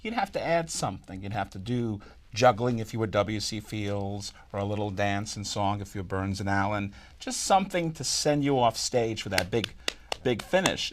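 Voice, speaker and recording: A man chatting casually as an interviewee, telling stories in a joking, animated way. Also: on the low side. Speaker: vaudeville performer, interviewee. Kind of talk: casual group interview chat.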